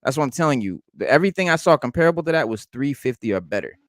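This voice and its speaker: A man's voice: medium pitch, animated, racing, dry recording, studio quality. Profane rambling man. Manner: rambling, profane, lots of restarts. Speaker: podcast co-host. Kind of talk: casual unboxing chat.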